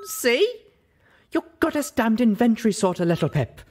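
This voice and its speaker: A man voicing a sharp-tongued female pony companion, cross, scolding and demanding. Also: extremely high pitch, big pitch swings, normal speed, voice pushed up, full character voice.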